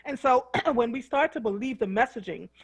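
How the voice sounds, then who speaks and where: A woman's voice: a little high, moderate pace, breathy edge, rough recording. A woman radio host who reads a news article aloud and comments on it in an earnest, emphatic way, with frequent fillers.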